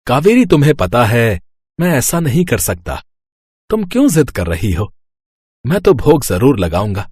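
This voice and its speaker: A man as an earnest, husky devout husband. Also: medium pitch, huge pitch swings, normal speed, darkish tone, dry recording. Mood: stubborn, hurt. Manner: earnest, insistent. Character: devout, stubborn, gentle middle-aged husband.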